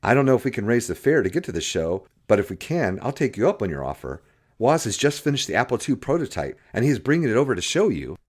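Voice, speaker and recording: A man's voice: mid-range voice, sing-song, quick pace, slightly rough, voice pushed up. A man voicing an eager, brash young male entrepreneur.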